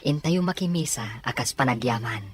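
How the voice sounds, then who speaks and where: A woman acts out a kindly, devout older brother in an audio drama. The voice is low and husky, grateful and encouraging in manner. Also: expressive, moderate pace, a little mellow, full character voice. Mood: warm, grateful. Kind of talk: Ilocano audio drama acting.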